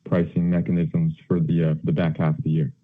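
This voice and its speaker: A man asking questions as a financial analyst on an earnings call, polite and conversational with halting phrasing. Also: low-pitched, monotone. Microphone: telephone. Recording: narrow, tinny phone line.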